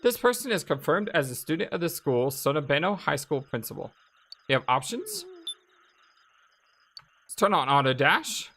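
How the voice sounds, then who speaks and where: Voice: mid-range voice, very animated, moderate pace, pauses often, a bit bright, a little hiss or hum. A let's play host giving casual, chatty gameplay commentary and reading game text aloud, reacting as they go. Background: video game music.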